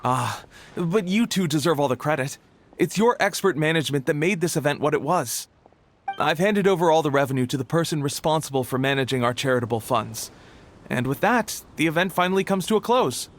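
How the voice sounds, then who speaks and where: A man's voice: on the high side, lightly acted. A man playing an earnest, grateful event organizer in a video game, polite and effusive.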